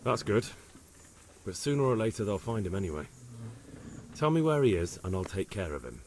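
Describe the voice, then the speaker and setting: A man's voice: fairly low pitch, sing-song, moderate pace, a little mellow, a little hiss or hum. An actor playing a determined young man in a lord's service in a video game, direct and probing. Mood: pressing. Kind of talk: video game cutscene acting. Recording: music behind.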